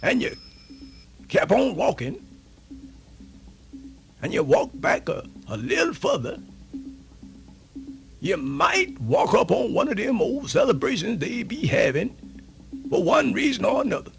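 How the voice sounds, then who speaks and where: A man telling a folktale for radio theatre in a folksy, exclamatory, drawn-out storytelling manner. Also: extremely high pitch, huge pitch swings, creaky. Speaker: storyteller narrator. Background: music.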